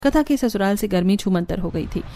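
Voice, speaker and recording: A woman's voice: medium pitch, expressive, brisk, dark tone. A woman narrator reading a scripted moral story for a cartoon.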